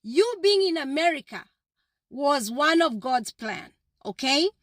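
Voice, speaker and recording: A woman's voice: high-pitched, big pitch swings, measured pace, dry recording, clean studio sound. A woman giving a motivational address to her viewers in an emphatic, repetitive, preacher-like manner. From Kenya. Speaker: vlogger.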